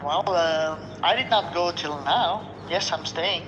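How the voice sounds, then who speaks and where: A Lebanese man with a fairly high voice answers in earnest, weary, halting phrases. His line sounds dull and lo-fi. A steady noise hums underneath. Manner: earnest, weary, speaks in short restarting phrases. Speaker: restaurant owner interviewed. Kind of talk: remote news interview.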